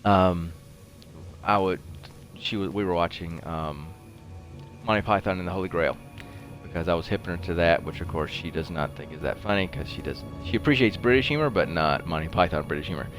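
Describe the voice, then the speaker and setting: A man's voice: fairly quick, a bit bright, soft background music. A man with a head cold giving casual, folksy gameplay commentary and rambling off into nerdy anecdotes. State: head cold, developing laryngitis. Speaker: gameplay video host. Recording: clear low rumbling background noise.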